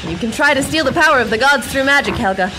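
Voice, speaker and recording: An actress playing a plucky, bossy pulp adventure heroine with a sardonic, snappy manner in a radio drama. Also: female voice, high-pitched, expressive, quick pace, background noise. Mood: defiant. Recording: loud music under the voice.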